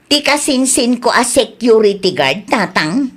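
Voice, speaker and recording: A woman playing an earnest, expressive family mother in a radio drama, with an Ilocano accent. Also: fairly high pitch, a little slow, slightly rough, full character voice. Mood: exasperated.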